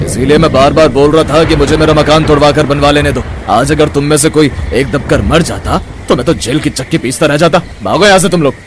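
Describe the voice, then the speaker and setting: An actor playing a gruff, irritable village man with a husky voice, grumbling forcefully in a cartoon story. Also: on the high side, brisk, few pauses, background noise, lightly acted. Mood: angry. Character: gruff, irritable labourer husband in village family. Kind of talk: cartoon moral story dialogue.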